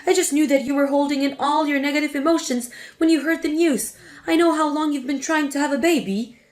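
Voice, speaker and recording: A woman voicing a spiteful older mother-in-law who lectures and sneers, with a lowered voice. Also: steady tone, brisk, natural voice. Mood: self-justifying. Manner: scornful, lecturing.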